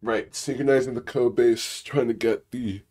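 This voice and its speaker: A man hosting a casual live coding session. He is sick, and his naturally low, rumbly voice sounds raspier than usual. He rambles through the work with many fillers and mutters to himself. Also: steady tone, moderate pace, a little mellow. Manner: rambling, filler-heavy, mutters while clicking around. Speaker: cybersecurity channel host. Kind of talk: casual live coding walkthrough, reading viewer questions.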